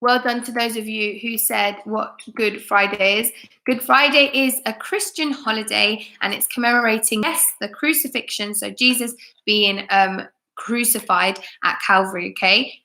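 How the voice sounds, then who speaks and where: A woman teacher hosting a chatty live story time for children, expressive and encouraging as she speaks directly to her viewers. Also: mid-range voice, measured pace. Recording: echoey room.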